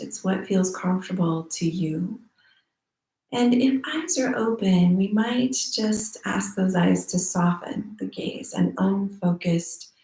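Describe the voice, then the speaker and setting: A woman teaching guided meditation in a soothing, unhurried manner with long pauses. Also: mid-range voice, mellow. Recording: roomy echo.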